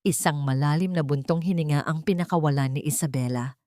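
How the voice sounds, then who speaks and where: A female storyteller reads a dramatic tale in a solemn, literary way. Her voice sits a little low for a woman, and the recording is dry with very little room sound. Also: a little fast, mellow, dry recording. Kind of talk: dramatic scripted audio story narration.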